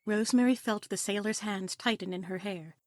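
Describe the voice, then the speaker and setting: A woman's voice: medium pitch, a little fast, no room sound, clean studio sound. A woman reading scripted story narration for an audio play in a dramatic storytelling style.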